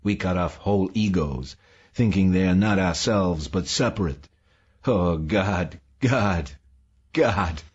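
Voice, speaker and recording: A man's voice: deep voice, normal speed, a little mellow, slightly rough. A man voicing an old man in a tearful, hysterical breakdown, ranting in anguished, gasping outbursts in an audiobook. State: crying. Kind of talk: dramatic audiobook character reading.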